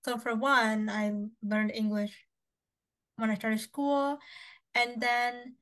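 Young canadian woman, cantonese first language, kinda high voice, upbeat chatty podcast talk. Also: fairly even intonation, takes it slowly, a bit bright. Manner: chatty, upbeat, lots of fillers. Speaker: podcast co-host. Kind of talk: casual chat.

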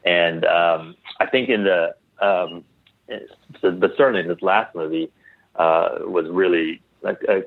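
A man speaking as a guest in a casual interview, in a halting, tentative way with trailing sentences and restarts, over a call. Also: low voice, fairly even intonation, gravelly, dry recording. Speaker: interview guest, clothing designer. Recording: tinny phone-like line.

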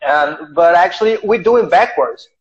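High-voiced man hosting an interview. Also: expressive. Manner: halting, many fillers and restarts. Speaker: podcast host. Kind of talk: casual interview. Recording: dull lo-fi sound.

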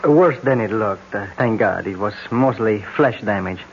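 A man's voice: on the low side, normal speed, lightly acted. An actor playing an easygoing young wounded man in a radio drama. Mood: casual, woozy. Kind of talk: 1970s radio drama acting. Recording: muffled old radio recording, faint noise.

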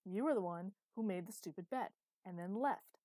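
A woman's voice: medium pitch, moderate pace, dark tone, dry recording, lightly acted. A woman voicing an ancient, imperious female underworld ruler, bitter and sardonic, in a dramatized story. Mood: furious, exasperated. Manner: bitter, sardonic, hissing outbursts.

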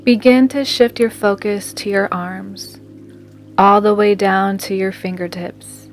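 Female meditation guide, medium pitch, very unhurried soothing scripted read over music. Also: steady tone. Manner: slow, soothing, measured delivery with long pauses. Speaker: meditation guide and podcast host.

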